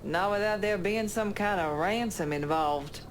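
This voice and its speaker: A woman's voice: mid-range voice, measured pace, a little hiss or hum, close to an everyday voice. An actress playing a tough, foul-mouthed woman with a casual, streetwise manner in a video game cutscene. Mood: wry, gossipy. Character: tough, foul-mouthed woman, teasing old friend, privately insecure.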